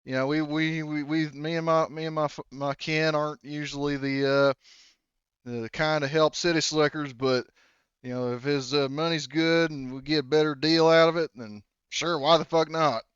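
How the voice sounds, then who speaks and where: A man voicing a dim, rough-spoken backwoods witness in a tabletop game, with halting, folksy, rustic phrasing. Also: a little high, steady tone, a bit bright, no room sound, highs cut off, lightly acted. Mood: folksy, unapologetic.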